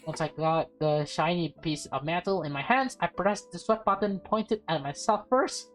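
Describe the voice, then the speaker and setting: A woman's voice: fairly low pitch, moderate pace. A woman reading a visual novel aloud with playful, dramatic delivery and casual asides. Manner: expressive, playful, acts out dialogue. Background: music.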